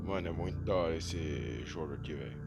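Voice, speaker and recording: A man's voice: rumbling low, quick pace, creaky, over loud music. A man with a Brazilian accent giving casual, slangy game commentary as a channel host. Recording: faintly noisy home recording.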